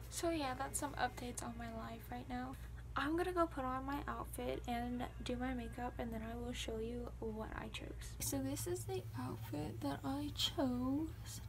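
A woman's voice: high voice, a little hiss or hum. A young woman in a small bedroom chatting casually to her phone camera, rambling and filling her talk with "like". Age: young adult. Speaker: vlogger. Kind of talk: casual vlog chat. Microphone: phone camera at arm's length and on a dresser.

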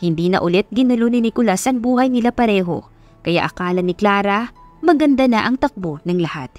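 A woman's voice: mid-range voice, expressive, a little fast, a little mellow, soft background music. A woman narrator reading a scripted love story in a dramatic audiobook style.

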